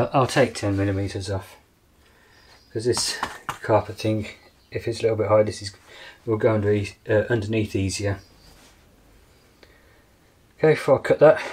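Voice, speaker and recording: A man's voice: on the low side, darkish tone, a little hiss or hum. A man in his fifties with an Australian accent, narrating casually as he builds storage in a small workshop, practical and thinking aloud. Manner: practical, matter-of-fact, thinking aloud while working. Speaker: outdoors channel host.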